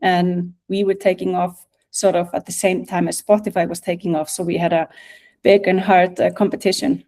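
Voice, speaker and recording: Middle-aged Icelandic female presenter, soft-edged mellow tone, informal online talk with halting delivery. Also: lowish voice, flat delivery. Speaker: guest speaker, national music office director. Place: video call.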